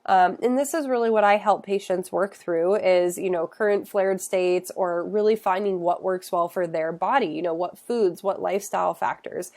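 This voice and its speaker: A woman's voice: lowish voice, moderate pace, few pauses, a little mellow. Congested woman nutritionist explaining casually. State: congested from a cold. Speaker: functional nutritionist. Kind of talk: educational talk to camera.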